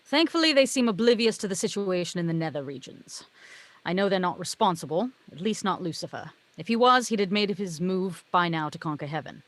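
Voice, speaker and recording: Woman as a knowing muse from heaven, lowish voice, wry n a bit exasperated, script read. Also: expressive, fairly quick, a bit bright, no room sound. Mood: wry explaining. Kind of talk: table read of a film script.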